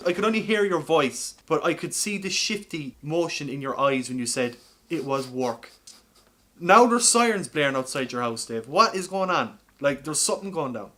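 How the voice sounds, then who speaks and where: A man hosting a casual comedy podcast, talking in an animated, teasing way with some swearing. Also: on the high side, moderate pace. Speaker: podcast host.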